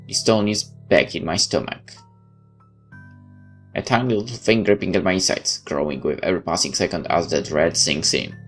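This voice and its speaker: A man's voice: sits low, moderate pace. A man doing a let's play, reading a game's story text aloud with casual asides. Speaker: game streamer reading aloud. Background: game music.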